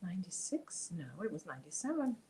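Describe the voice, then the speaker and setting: A woman's voice: on the low side, big pitch swings, fast talker, dark tone, a little hiss or hum. Woman, forties, casual crochet stream host, chatty, mutters while stitching. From Germany. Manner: chatty, reads viewer comments aloud, mutters while counting stitches.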